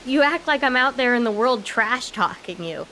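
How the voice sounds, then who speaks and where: A woman's voice: a little high, lively intonation, moderate pace, sharp tone. An actress playing an exasperated, sarcastic American daughter in her twenties in a comedic audio drama. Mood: fed up. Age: 20s. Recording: faint background hiss.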